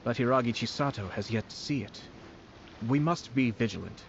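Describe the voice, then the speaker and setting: An actor playing a composed, formal and shrewd young nobleman in a video game, speaking in a measured, polite way. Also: medium pitch, gravelly, natural voice. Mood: calm, calculating. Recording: dull lo-fi game stream, faint noise.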